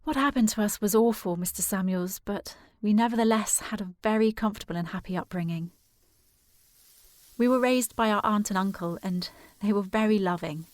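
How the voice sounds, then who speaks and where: A woman playing a determined, formal young gentlewoman worried about her sister in a period audio drama. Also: mid-range voice, fairly quick, no room sound, studio quality, natural voice. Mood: wistful, confiding. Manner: formal, precise, insistent.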